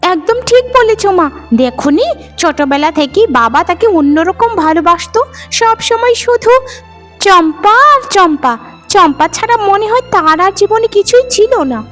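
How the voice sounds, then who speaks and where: An extremely high female cartoon voice acted by a woman plays a young married daughter. She is vain and petulant, wheedling in an affected, melodramatic way. Music runs behind her. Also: expressive, moderate pace, fairly bright tone. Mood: resentful. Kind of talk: Bengali cartoon dubbing, melodrama. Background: background music.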